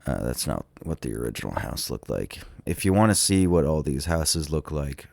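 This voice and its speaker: A sick man with a cold thinks aloud in a casual, hesitant, musing way, with many ums and trailing pauses, as he narrates a vlog. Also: rumbling low, expressive, vocal fry, a little mellow, no room sound. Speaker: vlogger touring tornado damage on a map.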